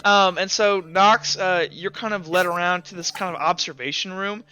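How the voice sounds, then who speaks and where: Bright, clear-voiced man running a tabletop game. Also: high-pitched, steady tone. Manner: halting, hesitant descriptions full of repeats. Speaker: game master. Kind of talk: improvised narration of a tabletop roleplaying game.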